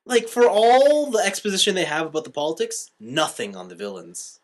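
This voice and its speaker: Animated, opinionated man with wide pitch swings. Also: brisk. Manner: animated, opinionated, exasperated griping, swears casually. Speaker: podcast host. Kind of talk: casual anime review chat.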